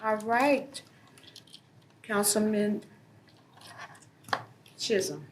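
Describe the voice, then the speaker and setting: A woman chairing a city council meeting, calling on members in a brisk, conversational way. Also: medium pitch, slight background noise.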